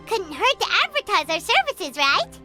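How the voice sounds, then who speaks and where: A woman voicing a small, cheeky, childlike fairy companion in a video game, squeaky and chirpy, speaking bluntly and excitably. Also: normal speed. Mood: playful, eager. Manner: speaks of herself in third person, blunt, chirpy. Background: music.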